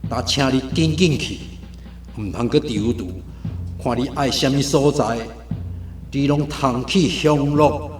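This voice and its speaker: A man's voice: mid-range voice, measured pace, long pauses, natural voice. A man with a Taiwanese accent playing a coaxing, commanding tempter and vice-street master in a radio drama. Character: tempting gambling-den tout and domineering dark master. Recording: roomy echo, music behind.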